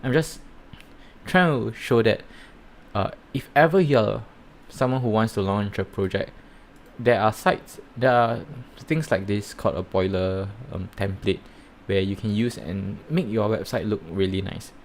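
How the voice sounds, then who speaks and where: A man with a Singaporean accent giving rambling, halting commentary while live-streaming reviews of projects. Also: on the low side, slight background noise. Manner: rambling, halting, many fillers and restarts.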